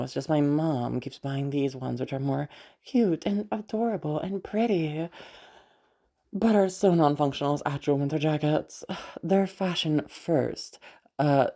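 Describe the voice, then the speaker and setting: A young woman acting in an audio roleplay as a caring, teasing party host, talking in a rambling, hesitant, softly reassuring way. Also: low-pitched, unhurried. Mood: wry, exasperated. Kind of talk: audio roleplay, naturalistic one-sided dialogue.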